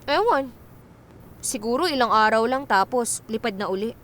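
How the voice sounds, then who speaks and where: Actress as a witty young woman, high pitch, animated intonation, teasing, bossy, radio drama, music. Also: normal speed, slight background noise, natural voice. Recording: clear music under the voice.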